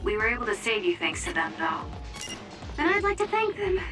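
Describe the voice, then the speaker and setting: A woman's voice: on the high side, wildly swooping pitch, brisk, distant mic, music in the background. A woman playing an earnest, urgent young female soldier in an English-dubbed anime game. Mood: focused, relieved.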